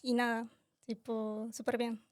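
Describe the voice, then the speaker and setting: A young woman from Colombia, a massage therapist, chatting as a podcast guest in a halting, unhurried way with many fillers. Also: a little high, flat delivery, no room sound, clean studio sound. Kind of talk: casual interview chat.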